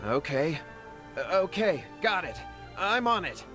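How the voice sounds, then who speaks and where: A man's voice: high-pitched, very animated, unhurried. A man voicing a flustered young man in a video game who is worried about his missing brother. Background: game music.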